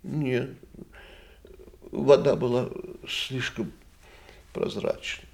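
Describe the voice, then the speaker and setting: An actor playing an eccentric grown son who plays at soldiers, a gravelly, husky old cavalryman in a radio play. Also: medium pitch, steady tone, extremely slow, darkish tone, a little hiss or hum. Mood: subdued. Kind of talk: theatrical radio play acting.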